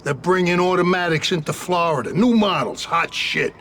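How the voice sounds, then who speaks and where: Gangster informant, gravelly, fairly high, blunt, streetwise, rattled, game cutscene. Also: male voice, animated, normal speed, a bit bright, slight background noise. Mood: rattled, relieved. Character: rattled, foul-mouthed male mob informant. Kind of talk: video game cutscene acting.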